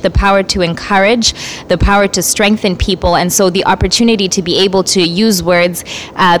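A woman's voice: fairly low pitch, slight background noise. A young woman from Zimbabwe, a spoken word artist, introducing herself earnestly on community radio with many fillers. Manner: earnest, measured, with frequent fillers.

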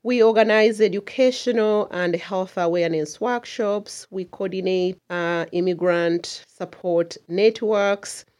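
A woman's voice: mid-range voice, steady tone, measured pace, hardly any pauses, darkish tone. A middle-aged woman from Uganda, speaking earnestly and emphatically as a community association chair in a radio interview.

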